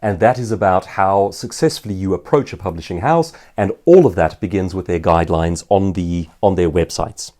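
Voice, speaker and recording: A man's voice: sits low, normal speed, few pauses, warm tone. A man giving an informal instructional talk as an author and speaker, articulate and emphatic in his phrasing. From South Africa. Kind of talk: informal instructional talk answering viewer questions.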